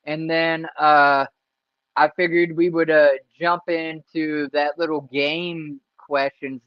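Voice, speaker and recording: A man's voice: mid-range voice, no room sound. A man hosting a casual livestream interview, rambling and laid-back with lots of fillers. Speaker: stream host. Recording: dry, dull lo-fi stream audio.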